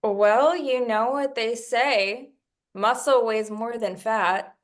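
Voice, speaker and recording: A woman playing a confident, sharp-tongued young dancer with a sardonic, unbothered manner in a script table read. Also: on the high side, takes it slowly. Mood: snide.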